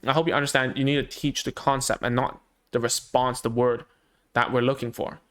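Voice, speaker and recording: A man whose first language is Cantonese talks loosely through how children learn language, as a speech therapist would with parents. His pitch sits in the middle for a man, and he keeps it conversational, with frequent fillers and restarts. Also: flat delivery, a little fast, fairly bright tone. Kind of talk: casual explanatory talk to parents.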